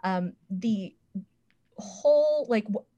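A woman's voice: mid-range voice, lively intonation, slow, dark tone, lo-fi sound. A woman with a mid-range voice gives her take in a casual review chat. She is frank and a little bawdy in how she puts things, like a panelist among fellow fans. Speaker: podcast panelist reviewing a website.

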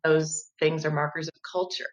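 A woman in her fifties, a guest in an interview, explaining her work as a diversity educator in a measured, thoughtful way with occasional restarts. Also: sits low, monotone. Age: 50s. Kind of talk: conversational interview.

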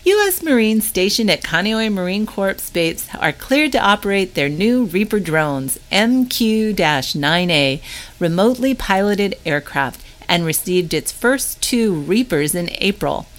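A woman's voice: medium pitch, animated, unhurried. A woman reading a scripted news update aloud as the narrator of a newsletter. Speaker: newsletter reader.

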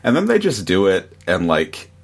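A man on a podcast panel chatting casually about a review, halting, with exasperated amusement. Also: sits low, huge pitch swings, vocal fry, breathy edge.

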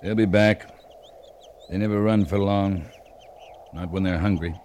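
A low-pitched male cavalry captain from an old radio western. He is terse and understated, with steady authority. The vintage recording carries faint noise. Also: unhurried. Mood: calm, watchful. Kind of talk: 1950s radio western acting.

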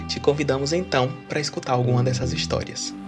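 Male podcast host, scripted narration, measured delivery, clear music bed underneath. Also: medium pitch. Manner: measured, reads an introduction.

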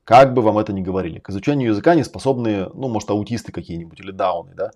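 A man talks quickly and insistently in a low, mellow voice. He hosts a casual live-stream monologue, making blunt points and dropping swear words. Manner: emphatic, categorical lecturing with frequent swearing. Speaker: host and language-learning coach.

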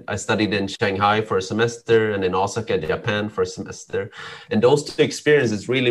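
A man from Sweden, a podcast guest, telling his life story in a relaxed, rambling way with many ums and restarts. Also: on the low side. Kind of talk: casual storytelling interview.

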